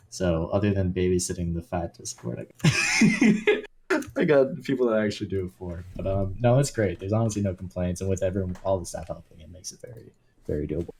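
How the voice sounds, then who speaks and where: A man of twenty talking casually on a podcast, easygoing and rambling, often restarting his sentences. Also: low-pitched. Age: 20s. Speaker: community leader and guest.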